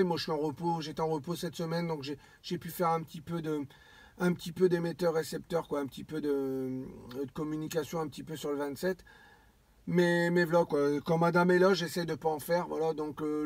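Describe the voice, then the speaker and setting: A man chatting casually as an amateur radio operator, halting and often repeating his phrases. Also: on the high side, a little mellow, no room sound. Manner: repetitive and halting, circling back over phrases. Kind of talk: casual radio contact chat.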